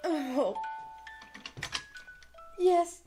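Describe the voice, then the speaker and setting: A woman's voice: high-pitched, expressive, drawn-out words, exaggerated voice. A woman acting in a live old-time radio drama as an anxious, distraught woman searching for a missing little girl. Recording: live stage with music underneath.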